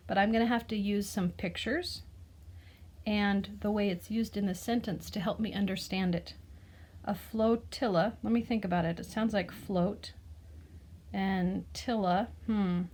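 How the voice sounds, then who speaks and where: A woman reading a children's picture book aloud expressively, like a teacher, with explanatory asides and questions to the listener. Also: medium pitch. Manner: explains words and asks the listener gentle questions.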